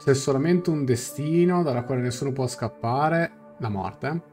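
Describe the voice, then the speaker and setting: A man's voice: medium pitch, normal speed, mellow. Young man streaming over game music. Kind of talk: live game reaction, translating dialogue aloud. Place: small room indoors, on webcam.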